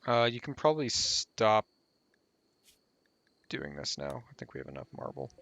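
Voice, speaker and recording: A man's voice: mid-range voice, very animated, normal speed, vocal fry, fairly bright tone, lo-fi sound. A man talking casually over a strategy game guide while losing his voice, rambling and trailing off with fillers. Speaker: gameplay guide host.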